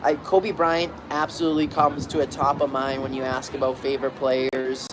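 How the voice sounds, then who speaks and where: A man's voice: medium pitch, level tone, moderate pace. A young man chatting casually on a balcony, rambling with many fillers and restarts while talking to his viewers. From Boston area, Massachusetts. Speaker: streamer reading chat. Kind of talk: casual live chat. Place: outdoor balcony. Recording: clear outdoor traffic noise. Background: street and traffic noise.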